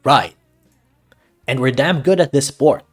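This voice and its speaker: A man acts out a blustery male soccer coach from a visual novel, small in stature and big in heart. He is gruff and emphatic, barking his words loudly, lifted above an everyday talking pitch. Faint music runs underneath. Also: measured pace. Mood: insistent. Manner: loud, emphatic, barking pep talk.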